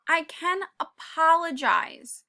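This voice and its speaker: A woman reading a children's picture book aloud, pausing to talk to young listeners in an encouraging way and sometimes dropping to a whisper. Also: extremely high pitch, lively intonation. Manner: drops to a whisper at quiet moments. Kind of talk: read-aloud with commentary for kids.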